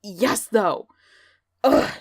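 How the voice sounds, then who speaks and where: A woman hosting a scripted metal news podcast, excitable and jokey, cursing now and then and breaking into loud bursts of enthusiasm. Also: mid-range voice, very slow, no room sound, clean studio sound. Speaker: podcast host.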